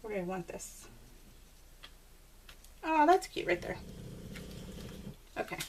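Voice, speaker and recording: A woman's voice: sits high, big pitch swings, takes it slowly, vocal fry. Chatty lady rambling to viewers on a craft vlog, bit far from the mic, faint background noise. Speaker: crafting video host. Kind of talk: casual chat to viewers while crafting.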